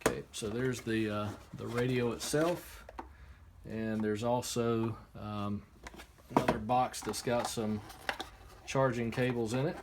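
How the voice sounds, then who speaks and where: A man giving a casual, unscripted hands-on product demonstration, explaining step by step in a halting way. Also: medium pitch, steady tone. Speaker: product reviewer. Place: indoor workshop. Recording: clear background noise, room ambience.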